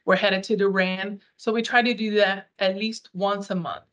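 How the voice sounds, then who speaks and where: A woman's voice: medium pitch, fairly even intonation, normal speed, fairly bright tone. Portuguese-native woman, 30s, earnest outreach coordinator on a video call. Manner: earnest, upbeat, some restarts. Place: small room.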